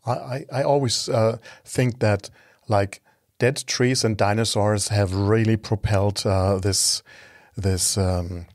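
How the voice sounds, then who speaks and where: A man hosting a casual interview, speaking in hesitant, halting phrases. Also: fairly low pitch, steady tone, darkish tone, dry recording, clean studio sound. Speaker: podcast host, interviewer.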